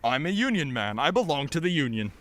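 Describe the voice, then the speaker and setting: A man's voice: a little high, very animated, brisk, fairly bright tone. A man playing an exasperated, impatient straight man who explains things emphatically in an old-time radio comedy act. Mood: exasperated, emphatic. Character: exasperated fast-talking straight man, proud working baker's hand.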